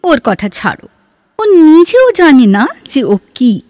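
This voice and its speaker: A poised young woman speaks in a high, melodic voice with wide swings. Her delivery is slow, lyrical and knowing, in the manner of a literary audio drama. Soft music sits underneath. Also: big pitch swings, dry recording, exaggerated voice. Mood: wry, wistful. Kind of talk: Bengali audio drama acting. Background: background music.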